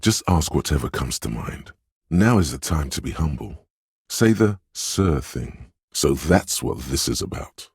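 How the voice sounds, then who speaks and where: Manipulative inner persuader, game character, rock-bottom pitch, very breathy rasp, measured, insinuating, coaxing. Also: male voice, a little mellow, no echo, studio quality, heavily acted. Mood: knowing, coaxing. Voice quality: very deep, husky, breathy. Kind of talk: scripted video game voice acting.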